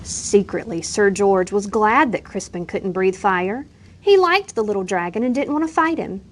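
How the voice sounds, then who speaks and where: A woman reading a children's bedtime story aloud with expressive, gentle storytelling. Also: mid-range voice, moderate pace, slight background noise. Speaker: reader.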